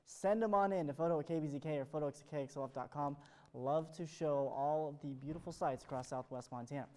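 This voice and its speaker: A man's voice: fairly high pitch, fast, dark tone. An American man presenting an ad-libbed television weather forecast in an upbeat, chatty way.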